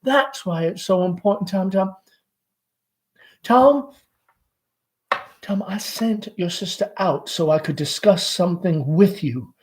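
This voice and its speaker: An anxious, fussing mother of middle age , as a reader acts her out from a play. The voice is pushed high and flutters with stammers. The manner is dramatic and pleading, always worrying over a grown child. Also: takes it slowly, long pauses, a little mellow.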